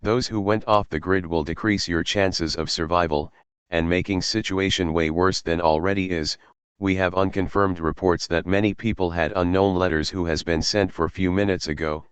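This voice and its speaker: A man's voice: deep voice, steady tone, normal speed, clean tone, dry recording. A man reading a scripted mock emergency broadcast as a formal announcer.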